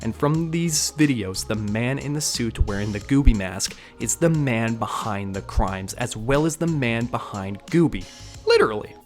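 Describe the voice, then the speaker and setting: A man narrates a scripted video breakdown as the host, in a medium-pitched voice. His delivery is that of an online narrator who mixes prepared commentary with casual asides. Music plays underneath his voice. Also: lively intonation, moderate pace, darkish tone.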